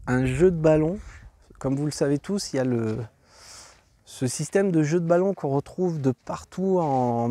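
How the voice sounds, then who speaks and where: A stuffy-nosed man narrates his walk around an outdoor site, his voice dark and mellow and a little raised. He wanders through his thoughts, stalling on fillers and restarting sentences, and the sound is dry and close. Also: on the high side, no echo. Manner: rambling, hesitant, many fillers and restarts. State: congested from a cold. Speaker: travel vlog host. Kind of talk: walking tour commentary.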